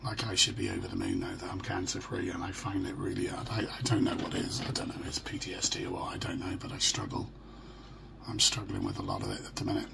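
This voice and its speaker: A middle-aged British man with a hoarse, croaky voice, talking haltingly and in a downbeat way in a casual personal video diary. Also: sits low, sing-song. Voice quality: croaky, gravelly. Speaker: vlogger. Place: small furnished room at home. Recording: noisy home phone or webcam recording.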